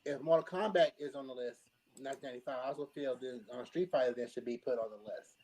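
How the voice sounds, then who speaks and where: A man with an average-pitched voice talks casually on a podcast panel. He is hesitant, feeling his way through each suggestion as he thinks aloud. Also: quick pace, darkish tone, rough recording. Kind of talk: casual group chat.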